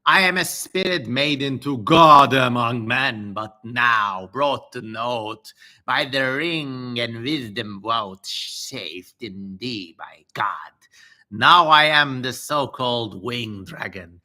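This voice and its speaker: A man's voice: medium pitch, bright, forceful tone, heavily acted. A man voicing a winged dragon demon, a fallen spirit, in a solemn, theatrical dramatic reading. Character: male winged dragon demon, humbled but lustful spirit. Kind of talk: dramatic reading of an ancient text.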